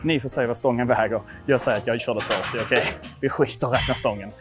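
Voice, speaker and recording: A man's voice: mid-range voice, fairly even intonation. A man in his twenties from Sweden chatting casually to viewers in a gym vlog, informal, sweary and self-deprecating. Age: 20s. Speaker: vlogger testing his lifts at a gym. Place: indoor gym. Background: music.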